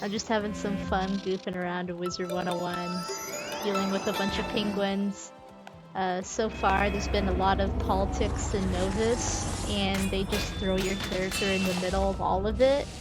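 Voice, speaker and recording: A woman's voice: mid-range voice, flat delivery, normal speed. A woman, chatting informally as she commentates on a game livestream. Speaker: game streamer. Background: video game music.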